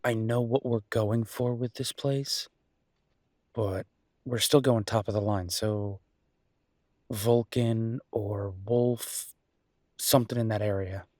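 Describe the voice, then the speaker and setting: Man playing affectionate chef boyfriend, low husky voice, teasing conversational banter, intimate audio roleplay, close dry sound. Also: steady tone, slow pace, long pauses, darkish tone, no echo. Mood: enthusiastic, opinionated. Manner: conversational, playful, exasperated banter. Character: easygoing, teasing human chef boyfriend, gadget-loving and affectionate.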